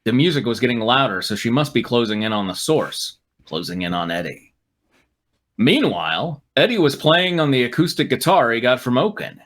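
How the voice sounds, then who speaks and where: A man's voice: medium pitch, lively intonation, moderate pace, a bit bright. Wry man reading fan fiction aloud. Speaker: reader and riffing co-host. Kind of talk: comic read-aloud of fan fiction with asides.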